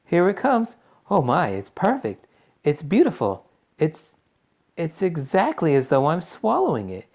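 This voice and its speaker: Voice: mid-range voice, huge pitch swings, moderate pace, mellow, slightly rough, dull audio, pitched above the performer's own voice, heavily acted. A performer voicing a brash, stubborn young girl in a storybook, boastful and gushing with emphasis. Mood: delighted gushing.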